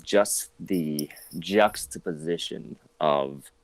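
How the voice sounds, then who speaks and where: A man in his thirties, an actor, chatting as an interview guest, rambling with lots of restarts and sudden jumps in pitch. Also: drawn-out words, dry recording, rough recording. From southern China. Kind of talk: casual interview talk.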